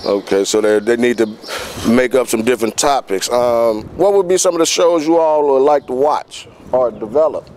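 A man's voice: mid-range voice, normal speed, darkish tone. A young male student host works a crowd of classmates on an outdoor campus plaza. He speaks in a middling voice into a handheld mic, asking questions and summing up what he hears. Faint outdoor noise sits behind him. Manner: asks questions, sums up answers. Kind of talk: campus street interview. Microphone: handheld stick mic.

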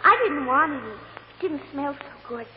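A woman's voice: sits high, animated, moderate pace. An actress playing an innocent, earnest little American girl giving simple, hesitant testimony in a vintage radio courtroom drama. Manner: simple, hesitant childlike answers. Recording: muffled old radio recording, echoey, faint hiss.

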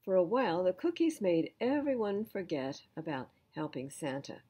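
An older woman, around her seventies, reading a children's storybook aloud in an expressive, deliberate manner. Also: fairly low pitch, slightly rough, highs cut off. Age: older, 70s. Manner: slow, deliberate, animated reading. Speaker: children's storytime reader at a library. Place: indoors in front of full bookshelves.

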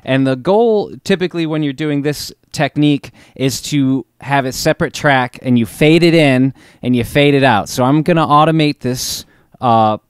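Young adult man, middle-pitched voice, laid-back, casual tutorial host, small home studio. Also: clear, resonant tone. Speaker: musician hosting a recording tutorial. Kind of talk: casual instructional talk to camera.

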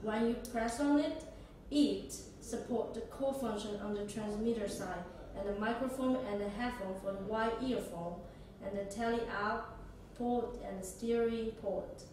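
A young woman demonstrates a product step by step, speaking in halting phrasing. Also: a little high, darkish tone. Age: young adult. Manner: halting non-native English phrasing. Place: small indoor office or meeting room. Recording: echoey room, distant mic, noisy background.

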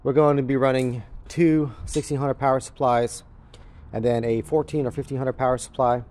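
A man's voice: mid-range voice, steady tone, moderate pace, darkish tone. A man gives a casual, matter-of-fact, unscripted walkthrough, explaining things as he films on a handheld camera. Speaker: crypto mining farm operator giving a walkthrough. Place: large indoor warehouse with concrete floor. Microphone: handheld camera or phone held by the speaker.

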